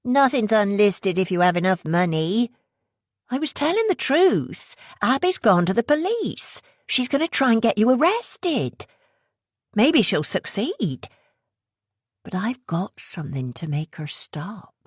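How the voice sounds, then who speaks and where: A sly, pushy schemer in a thick West Country accent, as a woman reader puts her on, pitched well above the reader's own voice. She rushes her words out with a subtly mocking edge and stays lively and bouncing in pitch, read close and dry for an audiobook. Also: dry recording. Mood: pressing. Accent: West Country English.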